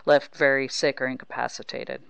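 A woman hosts a casual sports conversation in a clear voice with a lot of vocal fry. She is hesitant and thoughtful, and the sound is close and dry. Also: very deep voice, level tone, normal speed, a bit bright, no room sound. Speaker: sports podcast host.

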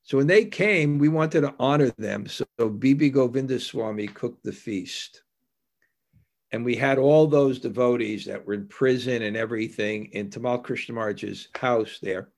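A man with a creaky, gravelly voice at a middle pitch gives a devotional talk. He speaks as a religious teacher, telling anecdotes in a reflective, conversational way, halting and repeating words for emphasis. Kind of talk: anecdotal spiritual discourse, storytelling between scripture readings.

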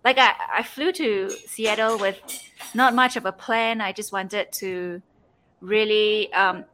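A young woman from Singapore, a travel blogger guest, talking in a relaxed way with many "um"s and "like"s. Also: medium pitch, slow, a little hiss or hum, rough recording. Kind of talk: casual interview chat.

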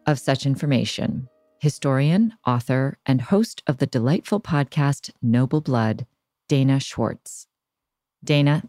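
A woman's voice: a bass voice, dark tone, no room sound, studio quality. A woman hosting and interviewing on a podcast in a conversational style.

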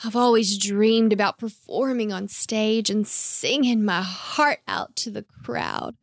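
A sweet, mopey farm hound from a storybook, played by a woman. He is bashful and apologetic, talking in a slow, folksy way with some creak in the voice. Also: medium pitch, no echo, studio quality. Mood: bashful, wistful. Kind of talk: children's storybook character voice.

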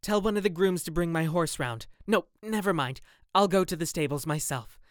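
A man doing a frantic young gentleman. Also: a little high, normal speed, no echo, clean studio sound, pitched above the performer's own voice, natural voice. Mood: urgent. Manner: frantic, breathless urgency. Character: panicked young English gentleman.

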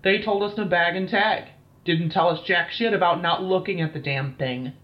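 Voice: medium pitch, moderate pace, lo-fi sound, lightly acted. Shaken, foul-mouthed military captain played by an actor. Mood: bitter, blunt. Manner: blunt, profane, weary. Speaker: military captain, interviewee in an audio play. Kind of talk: dramatised incident interview, audio drama acting.

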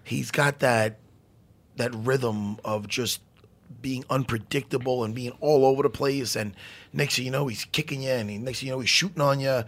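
A hoarse man hosting a casual podcast chat about fights, rambling, swearing and joking at his own expense. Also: medium pitch, fairly even intonation, normal speed, a bit bright. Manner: loose, swearing, self-mocking, rambling. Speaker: podcast host.

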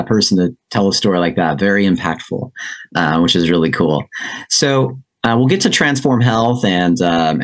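A man hosting a podcast, upbeat and encouraging, asking questions with many fillers. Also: fairly low pitch. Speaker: podcast host. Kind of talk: conversational interview.

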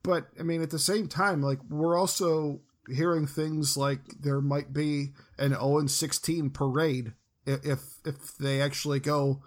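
A man's voice: fairly high pitch, flat delivery, unhurried. Man in his thirties, chatty podcast host. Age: 30s. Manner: chatty, asks long rambling questions. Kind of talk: casual podcast chat.

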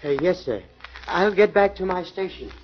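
Nervous waiter for an old radio drama, stammering and eager to please, muffled broadcast sound. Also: male voice, high-pitched, animated, normal speed. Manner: stammering, hesitant. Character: nervous foreign male waiter, timid and eager. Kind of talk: 1940s radio acting.

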